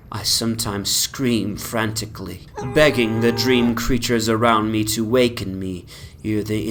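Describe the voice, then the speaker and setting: A man giving a theatrical, brooding and anguished dramatic reading of literary horror. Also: fairly bright tone. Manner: theatrical, archaic phrasing, brooding and anguished first-person delivery. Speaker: dramatic reader of a horror story. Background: soundscape rumble.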